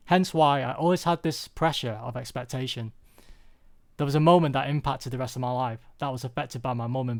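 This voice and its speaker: A man's voice: mid-range voice, smooth. Earnest British man giving a personal talk. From UK, born there. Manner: earnest, confessional, deliberate phrasing. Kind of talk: prepared personal talk, storytelling.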